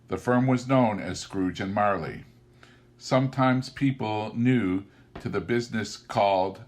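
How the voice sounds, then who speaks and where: A man reading a classic story aloud as a narrator, with deliberate, theatrical and emphatic phrasing. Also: fairly low pitch. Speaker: audiobook narrator.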